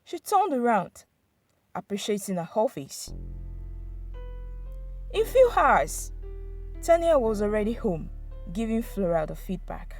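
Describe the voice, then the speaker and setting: A woman's voice: medium pitch, sing-song, unhurried, pauses often, faint music behind. A woman narrating a scripted dramatic folktale as a storyteller.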